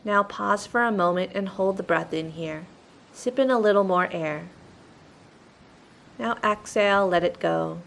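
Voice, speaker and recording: A woman narrates a guided meditation with soothing, gentle instruction. Her medium-pitched voice carries noticeable creak. A faint low rumble sits in the background of the recording. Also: unhurried.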